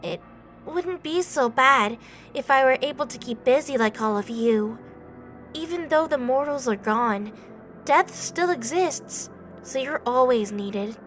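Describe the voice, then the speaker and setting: Woman as a high, soft, innocent young god. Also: lively intonation. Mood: wistful, lonely. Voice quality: soft, delicate, feminine-sounding. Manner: timid, halting, apologetic, earnest. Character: soft-voiced, youthful, innocent, lonely younger brother god. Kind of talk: audio drama acting. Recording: background music bed.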